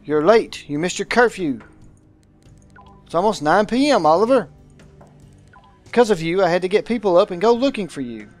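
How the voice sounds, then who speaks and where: A man voicing a visual novel's aristocratic guardian, pompous, dramatic and deliberate, with menacing affection. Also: sits high, expressive, soft background music, pitched above the performer's own voice. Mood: stern, probing.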